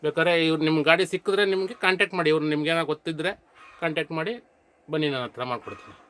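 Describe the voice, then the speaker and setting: A man's voice: fairly high pitch, very fast, fairly bright tone. A man presenting a casual vlog as host and audio installer.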